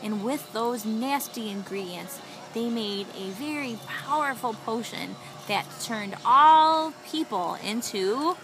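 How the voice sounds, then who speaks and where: A woman with a fairly high voice tells a made-up children's story. She is animated and encouraging, drawing the story out with questions and repeating what she hears. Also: takes it slowly, low-quality recording. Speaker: storyteller prompting a young child.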